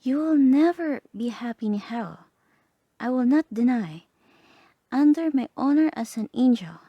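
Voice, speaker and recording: A woman voicing a gentle, earnest guardian angel in a soft half-whispered ASMR roleplay, slow, solemn and tender. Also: a little high, gravelly, dry recording, dull audio, heavily acted. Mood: earnest, pleading.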